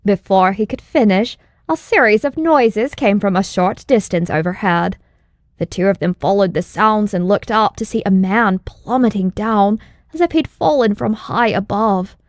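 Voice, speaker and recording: A woman's voice: medium pitch, normal speed, no room sound, studio quality. A woman reading scripted story narration as an audiobook narrator.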